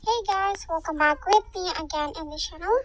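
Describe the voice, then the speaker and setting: Tiny elf, pitched far up, delivering a casual tutorial intro. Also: female voice, normal speed, fairly bright tone, a little hiss or hum. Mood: chirpy. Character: small high-voiced elf. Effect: pitched-up voice changer filter.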